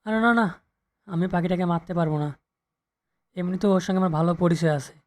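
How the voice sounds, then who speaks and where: A man's voice: high-pitched, quick pace, natural voice. A man performs a gullible eagle for a kids' cartoon. The voice is raised and defensive, a reluctant accomplice who keeps protesting. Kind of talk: children's cartoon voice acting.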